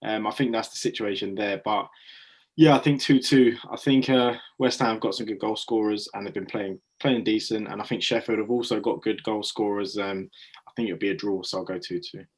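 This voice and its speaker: A man giving football predictions in a casual chat, measured with frequent ums. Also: fairly low pitch, steady tone, slightly rough. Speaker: guest pundit.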